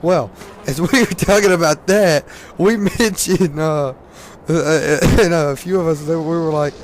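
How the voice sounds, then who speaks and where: A hoarse teenage man from Louisiana, rambling casually with lots of fillers and laughs while commenting on a game at a desk mic. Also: fairly high pitch, unhurried, a little hiss or hum. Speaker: gaming commentator.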